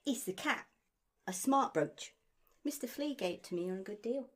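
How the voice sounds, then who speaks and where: Woman playing a high, lively cheeky shop girl. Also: moderate pace. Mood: eager. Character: cheeky, eager young working-class shop girl. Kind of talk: audio drama acting.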